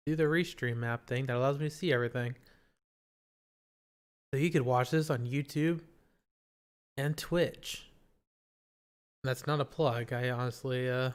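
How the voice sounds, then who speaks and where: A man's voice: medium pitch, fairly bright tone, smooth. A man streaming, chatting casually and rambling in a self-deprecating way. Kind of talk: casual live stream talk. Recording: music playing behind.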